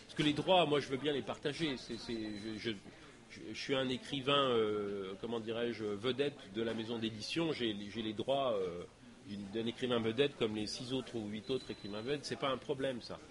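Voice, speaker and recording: Male lecture guest, native French speaker, middle pitch, halting and reflective Q&A delivery. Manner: hesitant, many fillers and restarts. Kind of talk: lecture Q&A answers. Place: lecture hall.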